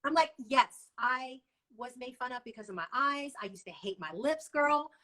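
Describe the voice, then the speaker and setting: A woman in her thirties from Hong Kong, hosting a casual video-call interview, warm and affirming in manner. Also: high voice, animated, normal speed. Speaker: host. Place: home room.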